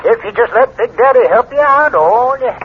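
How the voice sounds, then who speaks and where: An actor playing a hip radio disc jockey with drawn-out, showy jive patter. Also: male voice, very high-pitched, lively intonation. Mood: smooth, showy. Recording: noisy, dull car radio broadcast.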